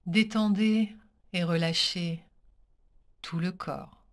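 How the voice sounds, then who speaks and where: A woman teaching yoga nidra, leading a guided relaxation in a calm, soothing, measured manner with pauses between phrases. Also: lowish voice.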